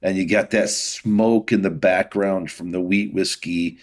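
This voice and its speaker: A middle-aged man with a fairly low voice speaks as a guest on a casual podcast. He explains his craft in an easygoing, rambling manner, as in relaxed conversation. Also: a little slow. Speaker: podcast guest, whiskey distiller. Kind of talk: casual interview talk.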